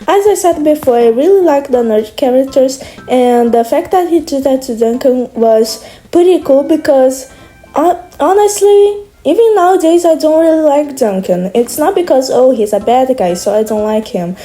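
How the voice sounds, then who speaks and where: A young Brazilian woman with Portuguese as her first language talks casually, with a slightly high, mellow voice. She rambles and restarts her sentences often. Faint music plays softly behind her. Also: expressive, moderate pace. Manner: rambling, hesitant, many restarts. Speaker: video creator. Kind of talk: casual monologue.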